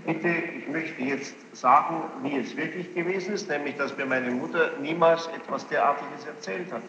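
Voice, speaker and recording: A man questions a witness as presiding judge, formally and insistently, in deliberate phrasing. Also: a little high. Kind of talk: courtroom questioning. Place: large reverberant courtroom with gallery. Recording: noisy, muffled 1960s courtroom tape, echoing hall.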